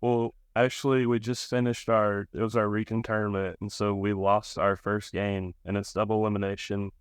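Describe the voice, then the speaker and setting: A young man, around college age, giving casual, low-key interview answers as a baseball player. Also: little pitch movement, normal speed, smooth, no room sound, studio quality. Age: young adult, about 20.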